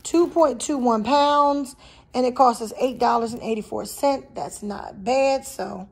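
A woman's voice: on the high side, a little slow, husky. A woman vlogger talking in a folksy, chatty way straight to her viewers, recorded on a handheld phone in a home kitchen. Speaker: vlogger showing a grocery haul. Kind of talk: casual chat to viewers. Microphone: handheld phone behind the camera.

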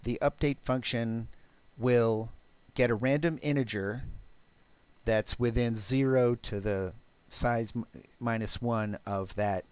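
A man giving a live technical walkthrough of code as a presenter, explaining deliberately and haltingly with pauses. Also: mid-range voice, steady tone, darkish tone, clean tone, no echo. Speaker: presenter of a programming talk.

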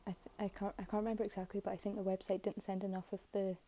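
A young woman with a slightly hoarse voice, giving low-key, halting commentary on an unboxing. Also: little pitch movement, mellow. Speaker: K-pop album unboxing vlogger. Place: bedroom, filming items on a bed.

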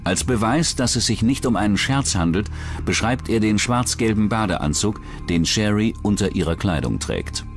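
A man's voice: on the low side, lively intonation, moderate pace. A man reading scripted narration for a true-crime documentary as its narrator. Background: background music.

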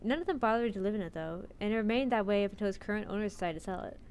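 A woman's voice: mid-range voice, expressive, rapid-fire, a little mellow, a little hiss or hum. A woman streamer reading a visual novel's narration aloud into a close mic.

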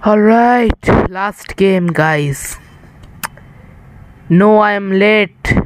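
A man's voice: sits high, extremely slow, a little hiss or hum. A young man from India chatting casually with viewers while streaming a game, rambling and tired, on an improvised setup. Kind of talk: casual live-stream chat while gaming.

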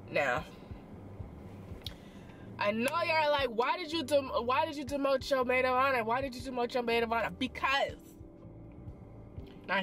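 A young woman vlogger telling a story in a parked car, expressive and dramatic, full of slang and quick asides, filmed by a camera on the dashboard. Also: a little high, a little hiss or hum. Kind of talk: animated storytime chat.

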